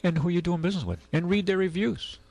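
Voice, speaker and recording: A man hosting a call-in radio show, giving casual advice in a halting, rambling way. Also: high voice, darkish tone, husky. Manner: halting, rambling, conversational explanations. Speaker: radio call-in show host, car dealer.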